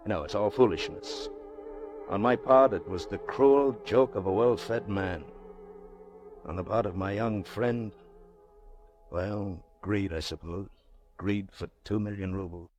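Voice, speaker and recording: An actor playing a wealthy, anguished middle-aged banker in an old-time radio drama, rhetorical and theatrical. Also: male voice, medium pitch, a little mellow. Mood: bitter musing. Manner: theatrical, rhetorical, swinging from musing to urgent pleading.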